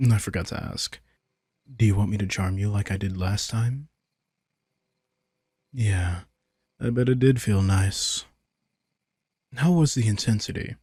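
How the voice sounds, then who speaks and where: Man voicing a deep, husky, smug vampire. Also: a little mellow, no room sound, clean studio sound, full character voice. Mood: casual, coaxing. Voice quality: husky, gravelly. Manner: casual, teasing, swearing, sardonic. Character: playful, smug male vampire, casually seductive and sardonic. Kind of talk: intimate roleplay audio drama addressed to the listener. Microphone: close, dry studio microphone.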